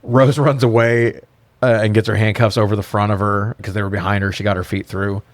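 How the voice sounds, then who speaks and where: Guy w a lower voice doing a casual movie recap podcast, slangy, swears a lot, kinda wry. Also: level tone, brisk, no echo. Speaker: podcast co-host recapping a film. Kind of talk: casual comedic film recap chat.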